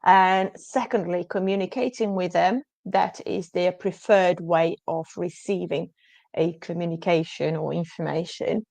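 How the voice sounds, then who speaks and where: A woman's voice: on the low side, steady tone, unhurried, vocal fry, darkish tone, no echo. A woman whose first language is Portuguese, answering interview questions in an earnest, emphatic way over a video call. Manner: earnest, emphatic, often says absolutely. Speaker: guest.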